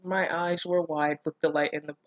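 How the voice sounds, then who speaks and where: A woman's voice: deep voice, little pitch movement, moderate pace, no echo, lo-fi sound. A woman reading fan fiction aloud and reacting casually, with an incredulous, self-deprecating manner and free swearing. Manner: giggly, breaking off to comment. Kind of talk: casual reaction commentary mixed with read-aloud.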